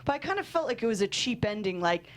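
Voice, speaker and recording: A woman's voice: fairly high pitch, big pitch swings, quick pace. A woman screenwriter in a casual interview, giggly and self-deprecating as she chats. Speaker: screenwriter guest.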